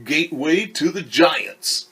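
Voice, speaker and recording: A man voicing a friendly male radio announcer, reading slowly and deliberately from a storybook. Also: on the high side, a bit bright, husky, pitched above the performer's own voice, exaggerated voice. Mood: upbeat.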